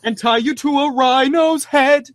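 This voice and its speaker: A man hosting a read-aloud show, addressing listeners in a theatrical, mock-grand and deliberate way. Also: very high voice, no room sound, studio quality.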